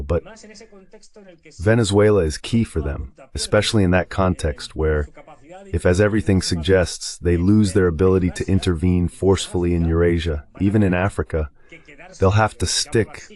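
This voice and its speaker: Very low-voiced man giving political commentary. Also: darkish tone. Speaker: translation voice-over of a guest analyst.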